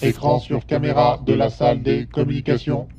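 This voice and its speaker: A man playing an emotionless spaceship computer in an audio drama, announcing reports in a flat robotic manner. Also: medium pitch, moderate pace, over a noisy crowd, close to an everyday voice.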